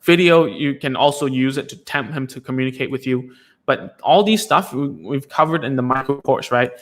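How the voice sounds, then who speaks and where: A man's voice: mid-range voice, normal speed. A man hosting a casual live stream Q&A as a speech therapist, talking loosely with many fillers and restarts.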